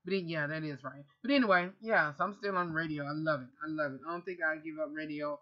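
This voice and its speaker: A woman's voice: low-pitched, quick pace, dull audio. A woman in her thirties answering viewer questions in a casual, rambling vlog from her bedroom, recorded on a close webcam. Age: 30s. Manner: chatty, rambling, self-correcting. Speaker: vlogger and radio host. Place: bedroom, indoors. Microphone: webcam at close range.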